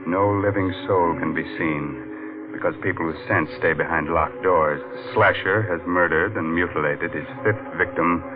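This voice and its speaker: A man's voice: low voice, moderate pace, close to an everyday voice. A man playing a gleefully morbid ghoul who hosts a mystery show in theatrical 1940s American radio style. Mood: ominous. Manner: theatrical, mock-sinister, chuckling wryness. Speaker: radio mystery host and narrator. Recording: tinny vintage radio broadcast with music.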